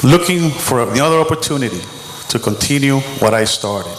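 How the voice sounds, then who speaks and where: A middle-aged man giving a measured, earnest opening statement as a mayoral candidate at a school debate. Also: medium pitch, expressive, slight background noise. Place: school auditorium. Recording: roomy hall with long reverb.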